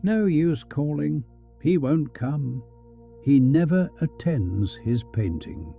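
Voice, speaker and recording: A man's voice: on the high side, animated, slow pace, vocal fry, exaggerated voice. A man playing the talking portrait of a former headmaster in an audio story, world-weary and gossipy, with a dry drawl. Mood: dry, resigned. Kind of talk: audio fan fiction acting. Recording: dull, band-limited sound. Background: music.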